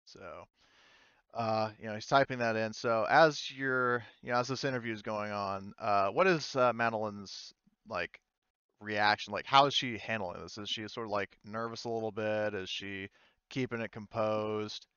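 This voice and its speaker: A man narrating a tabletop roleplaying game as game master in a casual, halting, self-correcting way. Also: on the low side, a bit bright, clean tone, no room sound, dull audio.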